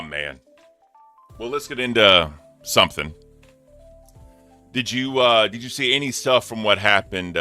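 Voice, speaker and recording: Deep-voiced man in casual chat. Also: bright, forceful tone. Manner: crude, joking, swears freely. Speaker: podcast co-host.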